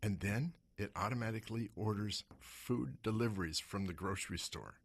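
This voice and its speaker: A hoarse man hosting a radio money show, asking rhetorical questions with lively pitch swings. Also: moderate pace. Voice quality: husky. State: hoarse from smoke. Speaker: radio show host and investment advisor. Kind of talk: talk radio monologue.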